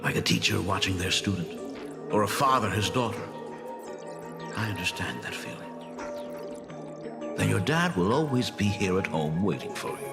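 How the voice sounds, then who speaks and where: An actor playing a gentle, nostalgic middle-aged father and school principal in a video game, speaking tenderly like a storyteller. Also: sits low, sing-song, normal speed, vocal fry, long pauses, heavily acted. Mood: reassuring. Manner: tender, wistful, chuckling storyteller. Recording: music under the dialogue.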